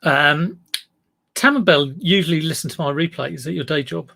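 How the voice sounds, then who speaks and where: Man, South East London drawl, gravelly, a bit husky, rambling live-stream chat. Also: fairly high pitch, normal speed. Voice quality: relaxed drawl. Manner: rambling, chatty, reads out viewer comments. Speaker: eBay reseller livestream host.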